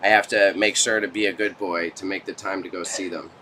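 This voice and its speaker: A man's voice: deep voice, flat delivery, brisk, background noise. A Canadian man chatting casually with viewers as a livestream host, with slangy, joking banter. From Toronto, Canada. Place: small warehouse space stacked with goods.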